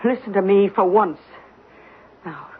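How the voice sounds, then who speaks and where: A woman's voice: medium pitch, expressive, unhurried, husky. A woman acting in a 1970s radio drama as a strong-willed working-class housemaid and wife, with an emphatic delivery. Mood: insistent, urgent. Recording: noisy, phone-like old radio recording.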